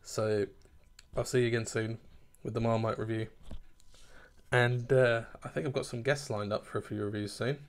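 A man's voice: medium pitch, steady tone, moderate pace. A man in his thirties chatting casually to camera in a kitchen at home, rambling a little and laughing at himself as he reviews a beer.

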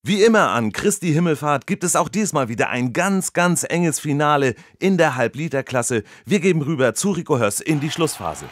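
An actor playing a formal, earnest radio reporter delivering a wordy live report in a comedy sketch. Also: male voice, fairly high pitch, lively intonation, moderate pace, hardly any pauses, no room sound. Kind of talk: parody live news report.

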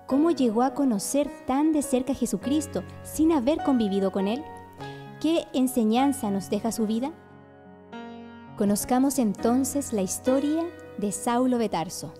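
A woman's voice: sits high, moderate pace, dark tone. A woman reading scripted narration for a radio drama. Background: faint music.

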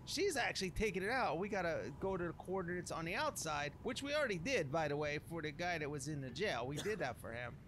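A man's voice: on the high side, animated, quick pace, few pauses, noisy background. A man giving casual, improvised gameplay commentary in slangy, grumbling talk with mild minced oaths. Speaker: gamer narrating a playthrough.

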